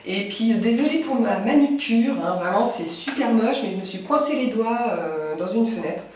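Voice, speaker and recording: A woman's voice: fairly high pitch, lively intonation, moderate pace, a little mellow, far from the mic. A young woman from France with a cold, chatting casually and hesitantly about her knitting and sewing, with many fillers. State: has a cold. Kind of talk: casual chat. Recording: very echoey room, voice from afar.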